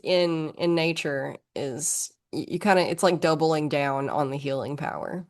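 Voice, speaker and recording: A woman from northern Alabama with a thick Southern accent, a podcast guest telling stories in a quick, chatty way. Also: deep voice. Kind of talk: casual conversation.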